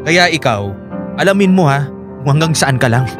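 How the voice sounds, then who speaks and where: A man's voice: a little high, animated, normal speed, music in the background, lightly acted. A male actor playing a troubled, defensive unfaithful young husband in a radio drama, both narrating and in dialogue. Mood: defensive, annoyed. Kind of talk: Filipino radio drama acting and first-person narration.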